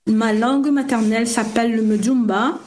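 A woman's voice: on the high side, fairly even intonation, normal speed, a little mellow. A woman from western Cameroon, answering questions as an interview guest in a conversational style. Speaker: interview guest, language and culture transmission coach.

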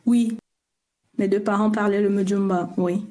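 A woman's voice: medium pitch, fairly even intonation, measured pace, warm tone. A woman from western Cameroon, answering questions as an interview guest in a conversational style. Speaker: interview guest, language and culture transmission coach.

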